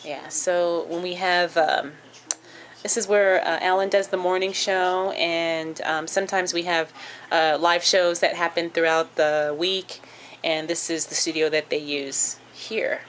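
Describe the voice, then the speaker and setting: A young woman who works as a radio traffic reporter, chatting casually in an easygoing, halting way with lots of ums and uhs inside a radio station. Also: lowish voice, steady tone, fairly bright tone. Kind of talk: casual vlog chat with an on-air traffic read. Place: radio station office and studios, indoors.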